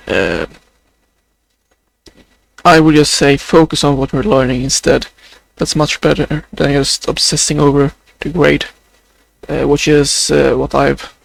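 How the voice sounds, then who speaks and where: Slow, gravelly Swedish-accented young man. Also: fairly high pitch, rough recording. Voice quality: sounds sad or close to crying. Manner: hesitant, many fillers, slow and halting. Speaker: video journal creator. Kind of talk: unscripted video diary.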